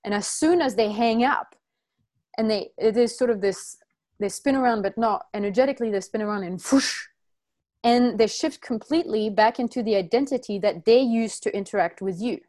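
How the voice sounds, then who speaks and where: Woman, from France, workshop facilitator, halting, frequent restarts, explaining slowly. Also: fairly high pitch, darkish tone. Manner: halting, many restarts and self-corrections. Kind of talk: teaching a group, reading from notes.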